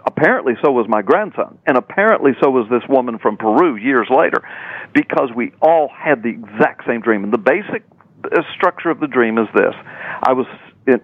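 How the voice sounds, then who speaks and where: An older man, a preacher and radio guest, telling a story earnestly and emphatically, repeating words when he gets excited. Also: medium pitch, dry recording. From US Gulf Coast. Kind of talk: animated storytelling on a phone-in radio interview. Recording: tinny phone line, dry.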